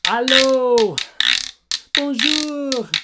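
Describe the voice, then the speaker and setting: A little storybook jazz fly, played by a man, pitched well up high. It is anguished and sings out its calls in short multilingual bursts. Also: very slow, very noisy, heavily acted. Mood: pleading, calling out. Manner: sing-out calls in several languages. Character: small anguished jazz fly, musician calling out.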